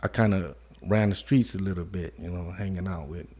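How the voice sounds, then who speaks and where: Soft-spoken reflective man. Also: low voice, steady tone, fairly quick. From Modesto, California. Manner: soft-spoken, reflective, many "you know" fillers. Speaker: interview guest. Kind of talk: casual conversation.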